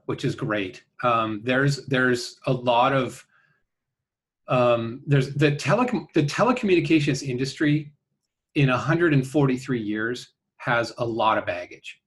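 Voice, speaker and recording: Male interview guest, mid-pitched voice, conversational and sincere, halting delivery with frequent check-in tags. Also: lively intonation, slight background noise. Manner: earnest, halting, often checking with "right?". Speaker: interview guest, company founder.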